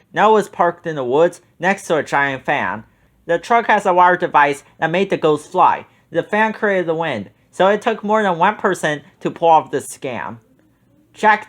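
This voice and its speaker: Animated man reading a kids' storybook. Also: a little high. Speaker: children's book reader. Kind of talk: storybook read-aloud narration.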